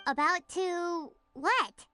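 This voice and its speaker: A woman voicing a small, childlike fairy companion in a video game, cheeky and perky, with a squeaky, exaggerated delivery. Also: extremely slow, bright, forceful tone, soft background music, heavily acted. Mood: curious.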